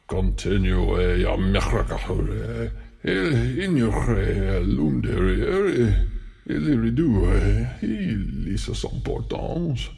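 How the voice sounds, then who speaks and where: A man's voice: on the low side, creaky, dark tone, heavily acted. A man playing a pompous, imperious all-powerful wizard in an ASMR fantasy film, commanding and theatrical. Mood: flustered, commanding. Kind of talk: French ASMR roleplay film.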